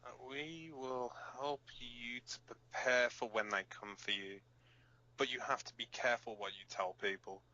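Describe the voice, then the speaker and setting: A man's voice: mid-range voice, steady tone, moderate pace, bright, forceful tone, dull audio, lightly acted. A man playing a blunt, cynical resistance fighter who co-hosts an underground broadcast in an audio drama. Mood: serious. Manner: blunt, sardonic. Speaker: radio show co-host.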